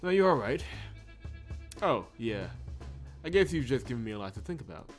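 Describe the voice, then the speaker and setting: A man's voice: mid-range voice, big pitch swings, moderate pace, close to an everyday voice. A man reading the lines of a curious, pragmatic young woman detective from a visual novel, with music behind. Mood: thoughtful.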